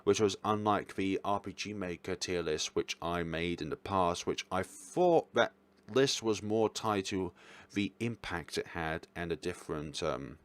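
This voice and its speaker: A man's voice: deep voice, a little slow. A man giving casual tier-list commentary as a video host, talking in an informal, rambling way and correcting himself as he goes. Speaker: video host reviewing games.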